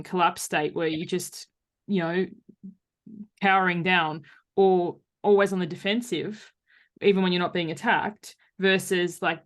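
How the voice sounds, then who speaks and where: A woman hosting a podcast, chatting casually and informally. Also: lowish voice. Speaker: podcast host. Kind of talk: casual chat.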